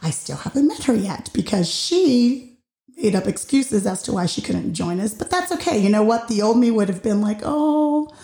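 A woman hosting her own show, talking slowly and earnestly about personal growth, her deep voice a little raspy after losing it recently. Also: animated, dark tone. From Puerto Rican and Dominican heritage. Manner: slow, heartfelt, searching for words. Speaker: podcast host. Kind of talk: reflective personal monologue. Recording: roomy echo.

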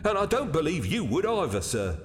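A man voicing a nervous, servile waiter in an audiobook, high and breathless, with a stammering, sheepish manner. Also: huge pitch swings, a little fast, long reverb tail, a little hiss or hum. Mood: defensive fear. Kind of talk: audiobook character acting.

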